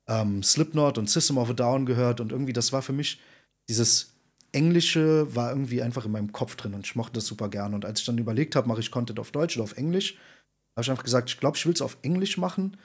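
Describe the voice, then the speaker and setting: A man in his thirties with a slightly rough, husky voice at an average pitch. He talks freely and informally as a guest on a podcast video call, leaning on slang and emphasis to make his points. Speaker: podcast guest, content creator and streamer. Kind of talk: casual interview chat. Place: indoor room, video call.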